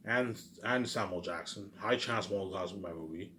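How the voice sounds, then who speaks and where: A man's voice: deep voice, a little fast, sharp tone. A man talking casually in a rambling, repetitive monologue, musing aloud like a vlogger. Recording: noisy amateur recording.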